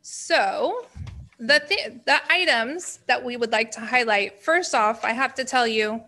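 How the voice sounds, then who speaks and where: Woman director presenting to the board, bright forceful voice, a touch high. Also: a little slow. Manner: projecting, strong voice. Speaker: special education director.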